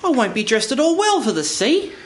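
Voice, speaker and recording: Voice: mid-range voice, very animated, a little fast, lightly acted. An actor playing a timid, polite, wistful little man in a live radio play. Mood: meek. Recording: echoey room, clear background noise.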